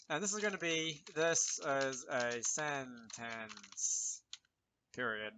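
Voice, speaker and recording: A man's voice: on the high side, bright, forceful tone, a little hiss or hum. A man presenting an informal programming tutorial, thinking aloud with self-deprecating asides as he explains. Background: keyboard typing and mouse clicks.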